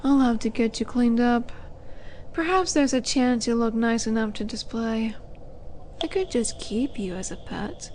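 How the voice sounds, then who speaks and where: A woman playing a flirty, condescending demon in an audio roleplay, teasing with unhurried menace and breathy asides. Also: on the high side, a little mellow, a little hiss or hum, natural voice. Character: flirty, teasing female demon, haughty would-be buyer of captives. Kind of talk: scripted audio roleplay monologue.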